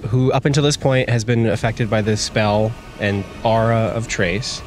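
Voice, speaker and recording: A man's voice: lowish voice, steady tone, normal speed, soft background music. A man playing a tabletop roleplaying game, narrating his character's actions descriptively.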